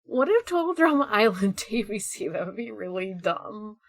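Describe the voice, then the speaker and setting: A woman's voice: medium pitch, sing-song, normal speed. A woman podcast co-host bantering casually with friends in a giggly, rambling way. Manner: giggly, frequently swearing, rambling.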